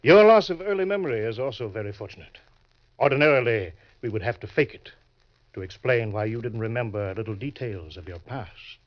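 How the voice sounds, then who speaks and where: An actor playing a crafty, genial older swindler in an old-time radio crime drama, speaking in a suave, deliberate way. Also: male voice, mid-range voice, animated, natural voice. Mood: sly, assured. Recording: dull vintage radio recording.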